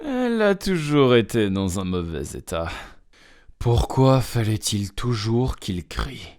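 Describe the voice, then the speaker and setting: A man's voice: fairly low pitch, very animated, slow, darkish tone, soft background music. A man voicing an earnest, youthful sidekick in an amateur dub. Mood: downcast. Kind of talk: amateur fandub character acting.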